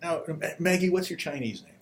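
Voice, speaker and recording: A man's voice: a little high, a little fast, slight background noise. A middle-aged man interviewing a student in a jovial, upbeat way, often restarting his questions. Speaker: interviewer, program host. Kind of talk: casual interview.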